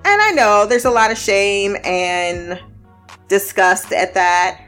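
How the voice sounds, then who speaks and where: A woman's voice: mid-range voice, slow pace, fairly bright tone, faint music behind. A woman hosting a casual TV review podcast, blunt, laughing often and swearing freely. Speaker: podcast host.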